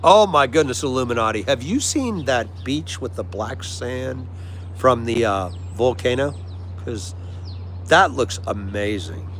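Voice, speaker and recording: A man's voice: medium pitch, expressive, measured pace, fairly bright tone. Chatty American man livestreaming over background noise. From United States. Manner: friendly, chatty, agreeable. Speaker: livestream host. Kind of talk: casual chat with live viewers. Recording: clear background noise, vehicle-like ambience.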